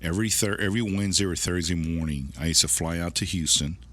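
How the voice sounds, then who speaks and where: A middle-aged man with a deep voice, speaking casually as an interview guest in a rambling way that often repeats phrases. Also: fast talker, fairly bright tone. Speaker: car dealership manager, interview guest.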